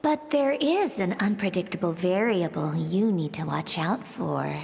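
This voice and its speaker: Slow, creaky, sly villainess. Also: female voice, lowish voice, lively intonation, darkish tone. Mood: sly menace. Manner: taunting, drawn-out. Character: sly, menacing woman villain, slow and amused. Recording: long reverb, faint background noise.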